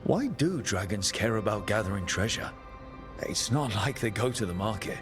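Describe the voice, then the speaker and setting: A man voicing a curious adventurer leader who asks calm, measured, probing questions in a game cutscene. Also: on the low side, over music, close to an everyday voice. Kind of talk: video game cutscene dialogue.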